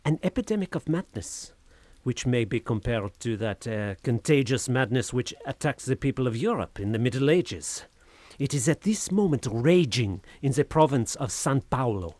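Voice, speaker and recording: Horror radio play lead: tormented man male actor, husky, highly animated, theatrically intense and obsessive. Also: dry recording, lightly acted. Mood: obsessive, uneasy. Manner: intense, theatrical, halting then feverish. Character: tormented, obsessive Frenchman haunted by an invisible being. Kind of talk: audio horror drama acting.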